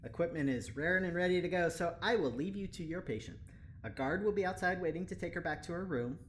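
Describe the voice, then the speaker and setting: A woman's voice: lowish voice, expressive, quick pace, slight background noise, lightly acted. A woman acting as a cheerful, chatty, over-familiar spaceship engineer in an audio drama, with a breezy, rambling, teasing manner. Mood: friendly, apologetic.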